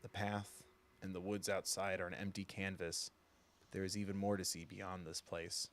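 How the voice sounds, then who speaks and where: A man reading a game's narration aloud as a solemn storyteller, measured and hushed. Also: low voice, flat delivery, slight background noise.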